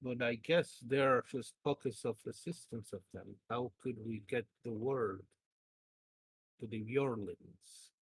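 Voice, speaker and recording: A man with a husky voice, a player casually reasoning through a tabletop game in a deliberate way. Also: medium pitch, no room sound, poor audio.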